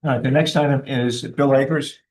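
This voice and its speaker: A man's voice: medium pitch, fairly even intonation, fairly quick, a little mellow. A man chairing a town board meeting in an informal, plain-spoken way.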